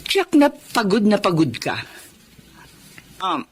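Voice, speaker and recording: Hot-tempered Filipino mother, middle-aged, expressive radio drama delivery, wide swings in pitch, some background noise. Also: slow pace. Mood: surprised, softening. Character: stern, hot-tempered middle-aged mother-in-law who gradually softens.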